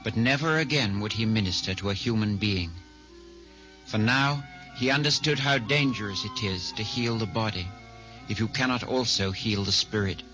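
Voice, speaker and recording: A man narrating a scripted radio drama in a measured, solemn manner. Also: on the low side, low-quality recording. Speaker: story narrator. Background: music.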